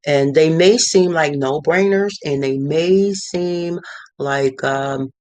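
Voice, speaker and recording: Middle-aged art consultant, mid pitch, loose rambling delivery, dead-dry room. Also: slow pace, a little mellow, no echo. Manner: rambling, many fillers and restarts. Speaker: visual artist and art consultant. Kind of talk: casual talking-head advice. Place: cluttered home studio.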